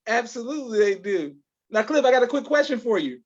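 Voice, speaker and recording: High-voiced male podcast host, muffled sound. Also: very animated, quick pace. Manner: effusive, affirming, repeats words. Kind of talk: casual live interview. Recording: dull, lo-fi online call audio.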